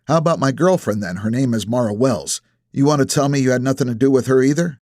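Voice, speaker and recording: A man's voice: mid-range voice, quick pace, darkish tone, no echo, clean studio sound, close to an everyday voice. A man voicing a brash, defiant detective in an audiobook, with a sarcastic, pushy manner. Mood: taunting. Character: brash, defiant male detective needling a suspect. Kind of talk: acted audiobook dialogue.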